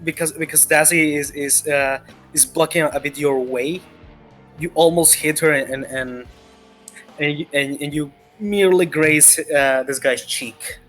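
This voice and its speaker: Guy dming a tabletop game, kinda higher voice, stumbles and repeats words, faint music behind. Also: steady tone, a bit bright. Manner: halting, repeats phrases while thinking. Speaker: game master. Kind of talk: improvised tabletop game narration.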